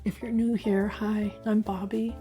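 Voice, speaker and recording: A woman in her fifties with a hoarse voice, talking candidly to camera in a personal health vlog. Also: medium pitch, level tone, a little slow, warm tone, faint music behind. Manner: candid, chatty, self-reflective. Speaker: health vlogger.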